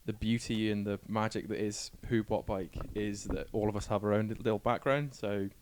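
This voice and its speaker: Man, twenties, athlete guest. Relaxed tone, brisk pace, loose self-mocking chat. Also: fairly low pitch, slight background noise. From Scotland. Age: 20s. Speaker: cyclist, podcast guest. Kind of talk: casual chat. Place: small cluttered office, indoors. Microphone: handheld microphone.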